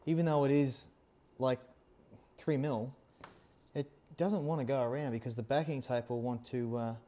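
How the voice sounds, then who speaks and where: A man's voice: medium pitch, fairly even intonation, normal speed, dark tone. A man, talking through a practical demonstration in a casual, reassuring way and often checking in with short questions. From Australia. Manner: informal, reassuring, often checks with "okay?" and "all right?". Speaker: instructor, helmet accessory maker. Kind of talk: casual hands-on tutorial. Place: small workshop studio indoors, seated at workbench.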